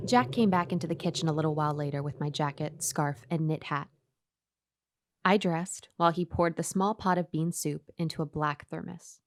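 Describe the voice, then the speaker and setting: An actress playing an uneasy, obedient woman who tells her eerie story in a measured way in a radio horror play. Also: sits low. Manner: measured, first-person storytelling.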